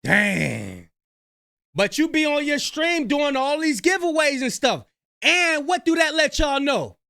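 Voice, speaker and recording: A man doing reaction commentary in a slangy, animated way, talking straight to his viewers. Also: extremely high pitch, measured pace, vocal fry, dry recording, clean studio sound.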